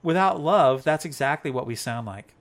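A man's voice: on the high side, expressive, moderate pace. A man chatting with his wife on a faith talk show, playful and rambling as he counts off points like a teacher. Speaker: co-host, devotional talk.

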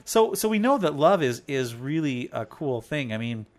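A man's voice: medium pitch, very animated, normal speed. A man chatting with his wife on a faith talk show, playful and rambling as he counts off points like a teacher. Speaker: co-host, devotional talk.

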